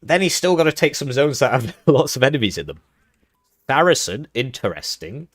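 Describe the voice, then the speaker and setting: A man's voice: mid-range voice, very animated, moderate pace. A young man hosting a live game tournament stream, talking casually with jokes and chuckles while commentating the match. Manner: rambling, joking, chuckling between remarks. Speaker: tournament host and caster.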